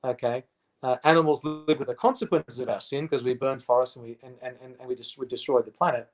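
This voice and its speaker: Aussie guy, 50s, ums and restarts all over, pushes his points, middle pitch. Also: steady tone. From Australia. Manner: halting, many fillers and restarts, emphatic. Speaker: interview guest. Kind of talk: casual interview chat.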